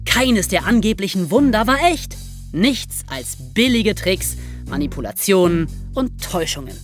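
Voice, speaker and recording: A woman's voice: medium pitch, animated, measured pace, soft background music. A woman narrating scripted stories as a podcast host. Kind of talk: scripted storytelling.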